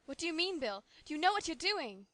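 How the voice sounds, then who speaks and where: A woman reading an audiobook, voicing a distressed young woman who pleads in a higher voice. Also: very animated, brisk, a bit bright, no echo, clean studio sound. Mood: desperate. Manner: pleading, desperate.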